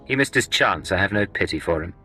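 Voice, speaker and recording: Forceful, deep-voiced, curt secret agent. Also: male voice, expressive, quick pace, breathy edge, soft background music, full character voice. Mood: cold satisfaction. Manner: terse, cool, defiant. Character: tough, curt, defiant male Imperial secret agent. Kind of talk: video game cutscene acting.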